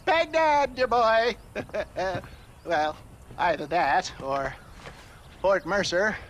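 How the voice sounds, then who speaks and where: Eccentric old gentleman, high voice, unhurried pace, florid, morbidly cheerful, video game cutscene. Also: expressive, a little hiss or hum, exaggerated voice. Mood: wry, theatrical. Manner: theatrical, florid.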